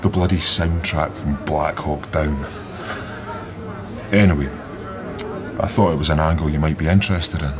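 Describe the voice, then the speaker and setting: An actor in a radio drama playing an intense, wry young ex-army officer with a Glasgow accent. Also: male voice, extremely low pitch, steady tone, normal speed, long pauses. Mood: intense, sardonic. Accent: Glasgow Scottish. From Glasgow, Scotland. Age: 30s. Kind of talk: naturalistic radio drama acting. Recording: muffled sound, busy crowd behind.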